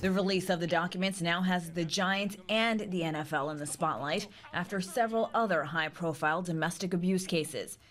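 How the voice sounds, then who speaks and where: Woman reporter voicing a news package, lowish voice, serious and slow-ish. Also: steady tone, a bit bright. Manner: serious, deliberate.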